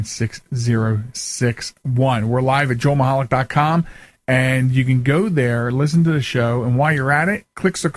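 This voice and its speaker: A man hosting a radio interview in a conversational, rambling way, circling back and repeating phrases. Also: mid-range voice. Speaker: radio show host.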